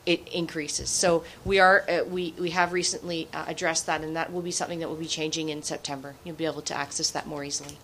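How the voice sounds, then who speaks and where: Low-voiced woman speaking on a panel. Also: flat delivery, quick pace, hardly any pauses, a bit bright, a little hiss or hum. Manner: conversational, many restarts and fillers. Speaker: government representative on a panel. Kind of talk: panel discussion answer. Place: conference room with wood panels. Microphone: desk microphone on stand.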